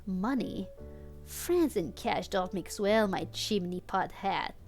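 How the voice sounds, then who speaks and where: A big stoned slacker dude, played by a woman, drawls slowly with a gravelly creak as he haggles. He is laid-back and talks like everyone is his buddy, in a story read-aloud over music. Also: mid-range voice, expressive, a little mellow. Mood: smug, engaged. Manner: drawling slacker talk, says man and dude.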